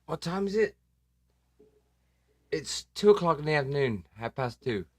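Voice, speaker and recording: A man's voice: fairly high pitch, expressive, normal speed, dry recording, studio quality. A man hosting a casual live stream, joking and self-deprecating, swearing now and then. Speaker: livestream host. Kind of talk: casual livestream chat.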